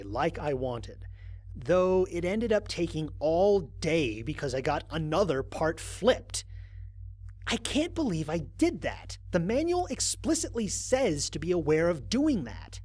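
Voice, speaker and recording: A husky astronaut played by a man records his mission logs for a sci-fi audio drama. He is wry and grumbling, and his conversational delivery swings expressively up and down from a somewhat high-set male voice. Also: a little mellow. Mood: weary, self-critical. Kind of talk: dramatized first-person log narration.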